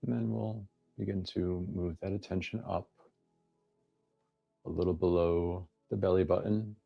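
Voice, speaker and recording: A man leading a guided meditation as its teacher, speaking in gently inviting instructions with long pauses between phrases. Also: low voice, steady tone, gravelly, highs cut off, soft background music.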